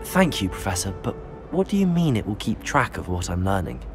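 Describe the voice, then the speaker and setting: A lowish, expressive voice of a teenage wizard in a fantasy game. He is modest, courteous and reserved, with music underneath. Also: brisk. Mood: polite, curious. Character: polite, modest teenage wizard, a new student. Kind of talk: scripted game dialogue.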